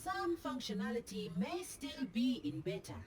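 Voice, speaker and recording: Earnest young robot girl for a game, high, metallic filter, faint noise. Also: sing-song, moderate pace. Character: polite, earnest young female guardian robot.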